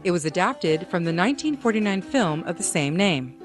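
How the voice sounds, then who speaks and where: A woman delivers scripted announcements in a slightly low voice. Her intonation is very varied and animated. Faint plucked-string music plays underneath. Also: brisk. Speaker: announcer reading cast credits. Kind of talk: scripted narration.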